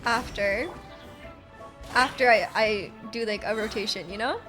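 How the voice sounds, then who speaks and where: A woman live streaming a game, chatting casually with an excitable manner and often repeating her phrases. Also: fairly high pitch, measured pace. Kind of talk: casual live stream chat while playing. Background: game music.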